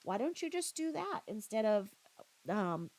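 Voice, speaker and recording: Female reaction commentator, mid-pitched and gravelly, scornful and confrontational, halting delivery. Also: sing-song, a little mellow. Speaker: commentator reacting to another creator's livestream.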